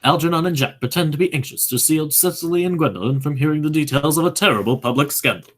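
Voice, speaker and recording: A husky, mid-pitched narrator reads out stage directions for a radio play. The delivery is scripted narration, describing the action between speeches. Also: fast.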